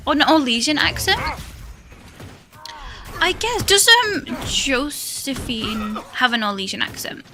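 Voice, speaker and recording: Shy high-voiced woman streamer. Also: very animated, a little slow, long pauses, background noise. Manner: shy, hesitant, rambling with fillers and little laughs. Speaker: live streamer. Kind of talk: casual chat while playing a game.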